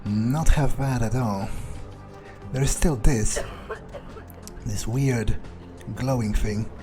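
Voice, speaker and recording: Guy playing a callous vampire in a game playthrough, muttering his thoughts, middling pitch, faint music behind. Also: slow, lightly acted. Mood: musing, cynical. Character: cynical, callous, self-serving male vampire. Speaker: gamer roleplaying his character. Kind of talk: in-character game playthrough, thinking aloud.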